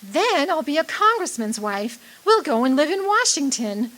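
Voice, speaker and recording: A woman's voice: high voice, sing-song, moderate pace, fairly bright tone. A woman acting in a radio drama as an adoring, earnest young sweetheart, romantic and hopeful.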